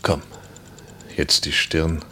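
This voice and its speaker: An actor playing a tender, devoted lover in a radio play, talking in a gentle, coaxing, reassuring way. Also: male voice, a bass voice, monotone, very slow, a little hiss or hum, exaggerated voice. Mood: tender, caring. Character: tender, devoted male lover caring for his woman. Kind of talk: intimate radio play acting.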